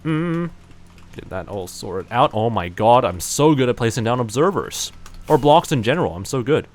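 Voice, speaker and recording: A man's voice: medium pitch, animated, normal speed. A man chatting casually while livestreaming a game, rambling with lots of fillers and poking fun at himself. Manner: rambling, many fillers, self-mocking. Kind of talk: casual gaming livestream commentary.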